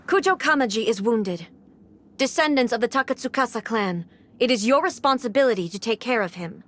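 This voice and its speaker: A woman voicing a composed, regal female ruler in a video game, speaking in a measured and formal way. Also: on the high side, lively intonation, fairly bright tone. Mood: commanding.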